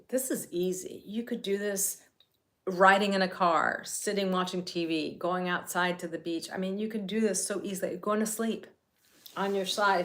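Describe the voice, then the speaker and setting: A woman's voice: mid-range voice, fairly bright tone. A middle-aged woman from New Jersey, talking casually while guiding a self-care healing session, chatty and rambling with many restarts.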